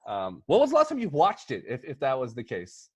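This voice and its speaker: Halting man hosting, dry close sound. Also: mid-range voice, wildly swooping pitch, husky, no room sound. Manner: halting, teasing. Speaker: podcast host. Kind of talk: casual banter about movies.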